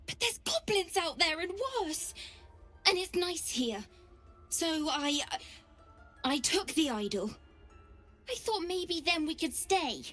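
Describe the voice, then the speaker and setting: High-voiced timid little girl. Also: expressive, fairly bright tone, soft background music, heavily acted. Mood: scared, pleading. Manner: pleading, halting. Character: frightened little tiefling girl, timid, pleading. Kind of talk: video game voice acting.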